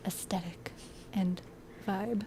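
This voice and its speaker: A woman co-hosting a casual music review chat, giggling often and chiming in with quick agreement. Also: mid-range voice, fairly even intonation, dark tone, slight background noise. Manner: giggly, agreeable, short reactions. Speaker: co-host of music review show.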